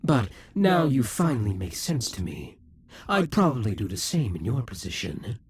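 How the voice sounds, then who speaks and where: A man's voice: mid-range voice, wildly swooping pitch, normal speed, slightly rough, full character voice. A man voicing a proud, possessive male demon king who taunts and schemes, sometimes dropping to a whisper. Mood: taunting. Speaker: voice actor in an audio roleplay. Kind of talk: audio roleplay acting.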